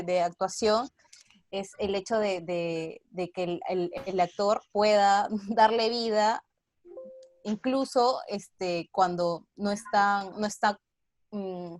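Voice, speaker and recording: A woman speaks in a casual, reflective interview style. She is an actress answering at her own speed, with a medium-pitched voice. The sound is very dry, without room echo.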